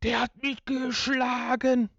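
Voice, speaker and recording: A whiny, plaintive child, put on by a man, pitched well up high. The delivery is overdone, like clumsy amateur audio-play acting. The sound is dry and slightly dull. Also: little pitch movement, takes it slowly, fairly bright tone, no room sound, exaggerated voice. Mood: mock hurt.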